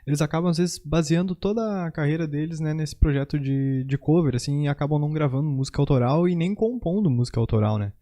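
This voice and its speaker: A man's voice: a little high, fast, hardly any pauses, dark tone. A man with a southern Brazilian gaúcho accent, chatting casually and loosely as a podcast host, with frequent tag words. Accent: Brazilian Portuguese, southern gaúcho. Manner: informal, loose and rambling with frequent tags. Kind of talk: casual chat.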